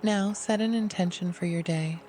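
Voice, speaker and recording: A meditation guide giving scripted, gentle, soothing instruction in an unhurried way. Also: medium pitch, faint music behind.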